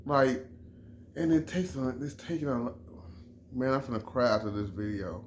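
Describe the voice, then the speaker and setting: A man's voice: medium pitch, animated, moderate pace, slight background noise. A middle-aged man from Chicago giving an emphatic, repetitive sports opinion in a casual vlog, often restarting his phrases. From Chicago, USA. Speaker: vlogger.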